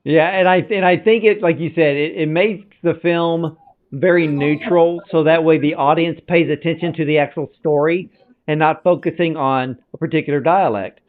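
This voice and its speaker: A man's voice: on the high side, fairly even intonation, normal speed. A man hosting a film interview, asking questions in an eager, chatty way and often restarting his sentences. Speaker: film podcast host. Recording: tinny phone-like line.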